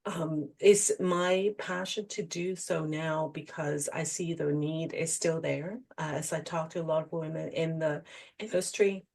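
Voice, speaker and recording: A woman's voice: deep voice, steady tone, unhurried, gravelly, a little mellow. A middle-aged woman speaks. She is an executive coach answering as a podcast guest, in a conversational interview style. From Hong Kong.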